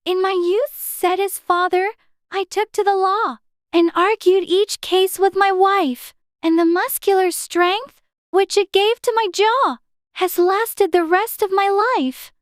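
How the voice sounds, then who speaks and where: A woman's voice: very high voice, fairly even intonation, takes it slowly, dry recording, studio quality. A woman voicing a polite, earnest, puzzled young girl in a dramatized audiobook, with proper, careful manners. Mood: dutiful recitation. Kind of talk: dramatized audiobook character acting.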